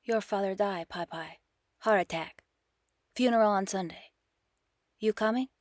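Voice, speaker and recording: A woman voicing a curt speaker breaking bad news in clipped, slow phrases. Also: mid-range voice, close to an everyday voice. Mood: terse.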